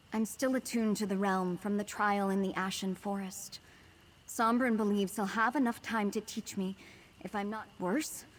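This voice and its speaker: An actress playing a troubled young woman burdened by dangerous power in a video game, speaking seriously and quietly guarded. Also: mid-range voice, natural voice. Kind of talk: video game dialogue acting.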